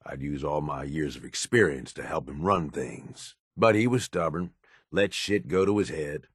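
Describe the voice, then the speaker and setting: A deep, close and dry male voice for a video game: a gruff middle-aged raider who serves as the boss's right hand. He talks bluntly and pragmatically, worn down by years of experience, in loose, profane slang. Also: normal speed, no echo. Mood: bitter, frank. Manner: blunt, world-weary, drawling slang and profanity. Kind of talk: video game character dialogue.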